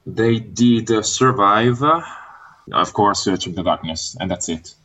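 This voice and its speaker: A man whose first language is Italian, chatting casually as a guest fan on a podcast, pausing often with "uh" fillers. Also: lowish voice, bright, forceful tone, low-quality recording. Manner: hesitant, many "uh" fillers.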